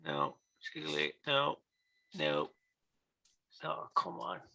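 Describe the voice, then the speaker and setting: A man with an accent opening trading card packs on a live stream, chatting casually and reacting with quick excitement that often trails off quietly. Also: fairly high pitch, gravelly, no room sound. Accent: non-standard English accent.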